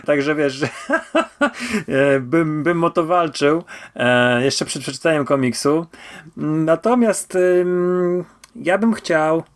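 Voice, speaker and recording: A man's voice: a little high, expressive, slow pace. A man co-hosting a podcast, chatting through a review with frequent stammered restarts and repeated words for emphasis. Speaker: podcast host. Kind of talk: casual review discussion.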